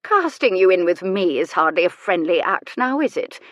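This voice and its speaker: A woman acts out a bedridden old noblewoman from a storybook. She is haughty and sharp-tongued, teasing with dry, theatrical relish. The sound is close and dry, with a raised, emphatic delivery. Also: lowish voice, sing-song, moderate pace, no room sound. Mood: mischievous, scornful. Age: older. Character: elderly bedridden aristocratic lady, sharp-tongued and mischievous.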